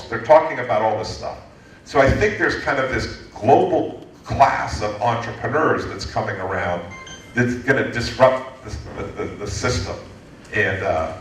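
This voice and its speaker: A man in his fifties from the United States, answering an audience question at a lecture in a lively, joking way with many restarts. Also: medium pitch, slight background noise. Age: 50s. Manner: animated, joking, frequent restarts. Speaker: guest speaker, entrepreneurship lecturer. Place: lecture hall. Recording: echoey hall from far off.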